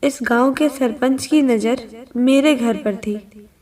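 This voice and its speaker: A woman's voice: on the high side, takes it slowly, darkish tone, big-room sound. A woman voicing a vengeful ghostly witch in a horror cartoon story, eerie and aggrieved. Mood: bitter.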